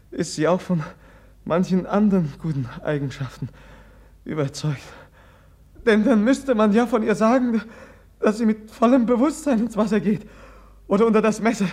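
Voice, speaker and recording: An actor playing a gentle, bewildered young prince in a German radio play, speaking in a hesitant, earnest way. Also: male voice, sits high. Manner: hesitant, halting, softly earnest.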